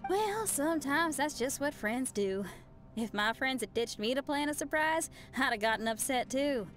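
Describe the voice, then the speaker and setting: Spirited young female musician for a game: high, animated, frank. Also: normal speed, soft background music. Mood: relaxed, reflective. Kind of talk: video game voice acting.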